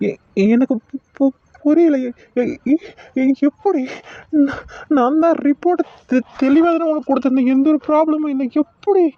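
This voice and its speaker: Man acting as a desperate young husband, stammering, tearful, high voice, muffled lo-fi sound. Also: normal speed, long pauses, no echo. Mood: bewildered. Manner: stammering, pleading. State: crying.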